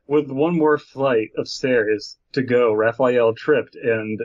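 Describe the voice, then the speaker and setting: A man's voice: medium pitch, slow pace, dry recording, muffled recording. A man hosting a podcast, reading a horror story aloud in a joking, mock-dramatic way. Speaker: podcast host.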